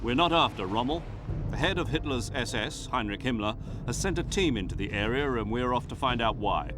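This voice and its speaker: An actor playing a businesslike wartime intelligence agent in a video game, speaking with brisk authority. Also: male voice, mid-range voice, expressive, background noise, lightly acted. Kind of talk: dramatic game cutscene dialogue.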